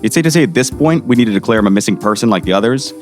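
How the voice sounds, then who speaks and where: Man playing an earnest, low-voiced small-town sheriff. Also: expressive, rapid-fire, faint music behind, lightly acted. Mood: grave. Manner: serious, respectful, frustrated. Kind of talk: audio drama acting.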